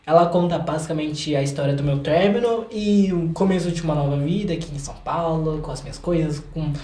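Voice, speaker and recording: An echoey room carries the voice of a young Brazilian man with a fairly flat, light delivery. He chats casually as an interview guest, informal and a little self-deprecating about himself. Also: on the high side, fairly quick. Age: young adult. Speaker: interview guest, singer-songwriter. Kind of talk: casual chat.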